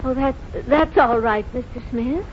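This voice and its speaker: A woman's voice: high voice, measured pace, highs cut off, a little hiss or hum. An actress playing a kindly, trusting, easily flustered old grandmother in folksy 1940s radio drama style, on an old radio recording. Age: older. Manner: folksy, dismissive, fussing.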